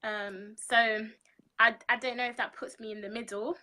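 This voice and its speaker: Bright-voiced young woman on a call. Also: on the high side, flat delivery. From London, UK. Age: 20s. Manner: measured, frequent ums. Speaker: interview guest. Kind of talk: answering interview questions. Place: indoor room. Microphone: video call.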